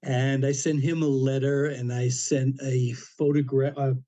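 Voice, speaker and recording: An older man around seventy talks through a personal story as a podcast guest, unhurried and anecdotal. His voice is warm and mellow, pitched in the middle range, with dry humor and plenty of "you know" fillers. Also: level tone. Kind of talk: casual storytelling interview.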